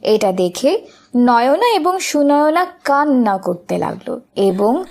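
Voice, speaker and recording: Female narrator for kids' cartoon tale: high voice, slow scripted delivery, faint music underneath. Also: very animated, a little mellow.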